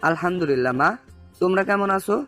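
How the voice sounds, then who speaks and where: A man's voice: high-pitched, fast talker, fairly bright tone, soft background music. A man playing a kindly, welcoming middle-aged father in an audio story drama.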